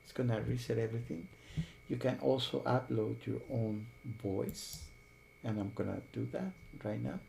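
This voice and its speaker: A man hosting a software tutorial, explaining each step while thinking aloud. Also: mid-range voice, steady tone, moderate pace, creaky, slight background noise. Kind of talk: screen-recorded tutorial.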